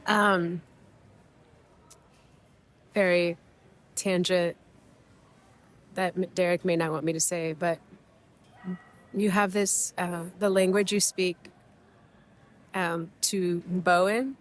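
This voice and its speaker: A woman's voice: on the low side, little pitch movement, takes it slowly, long pauses. A young woman from Mexico whose first language is Spanish, co-hosting a podcast with meandering, reflective casual chat full of fillers and restarts, recorded at an airport. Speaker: podcast co-host. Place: airport waiting area.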